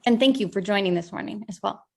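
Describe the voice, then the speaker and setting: A woman's voice: medium pitch, quick pace, creaky, darkish tone. A woman representing a publisher, making an informal announcement on an online call.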